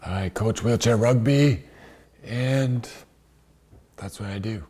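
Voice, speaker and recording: Husky-voiced man in his thirties, brief answers. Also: on the low side, slow pace, mellow. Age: 30s. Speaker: interviewee. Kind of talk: documentary interview.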